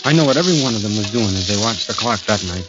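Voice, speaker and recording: A man plays a working-class American prisoner facing death, telling his story in a low, brooding voice. The delivery is plain and confiding, in old radio style. Loud music sits behind the dull 1940s recording. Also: animated, rapid-fire, natural voice. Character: haunted working-class condemned man recounting his ordeal. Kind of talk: 1940s radio acting, first-person narration.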